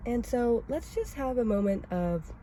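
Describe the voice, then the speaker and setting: A woman's voice: on the high side, expressive, a little slow, warm tone, a little hiss or hum, poor audio. Stuffy-voiced woman rambling in a car. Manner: rambling, self-critical, chatty. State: has a cold, raspy and stuffy. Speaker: vlogger host. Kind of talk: casual oversharing vlog talk. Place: inside a parked car.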